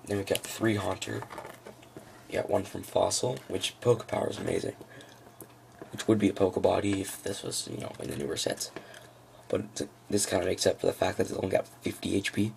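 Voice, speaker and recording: A man with a froggy, gravelly voice giving a casual, unscripted walkthrough of trading cards, halting as he thinks aloud. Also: deep voice, fairly even intonation, darkish tone, slight background noise. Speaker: trading card hobbyist presenting a deck.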